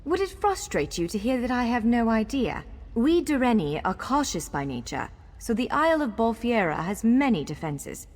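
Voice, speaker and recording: A woman's voice: fairly high pitch, big pitch swings, moderate pace, darkish tone. A woman playing a courteous, wry elf scholar guiding someone in a video game, articulate and formal yet playful. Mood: concerned. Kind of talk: video game character dialogue. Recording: long hall reverb over music.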